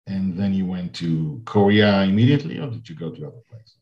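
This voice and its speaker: A man interviewing a guest, asking halting questions and offering brief acknowledgements. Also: low-pitched, animated. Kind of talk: casual interview conversation. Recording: muffled lo-fi audio in an echoey room.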